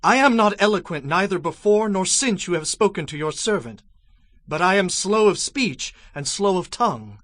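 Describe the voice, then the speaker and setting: An actor playing an anxious, humble, reluctant prophet, hesitant and pleading, in a dramatized scripture reading. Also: male voice, sits high, animated, moderate pace, fairly bright tone, no room sound.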